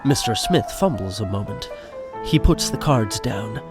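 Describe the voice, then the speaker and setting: A man's voice: lowish voice, animated, measured pace. A man narrating a scripted comic audio drama in a wry storytelling manner. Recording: music behind the voice.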